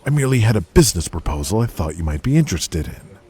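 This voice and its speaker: A man's voice: lowish voice, very animated, fast, a little mellow. A man voicing a proud, stubborn, sardonic wrestling boss in an audio drama, with a haughty, dry delivery. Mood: smooth, proud.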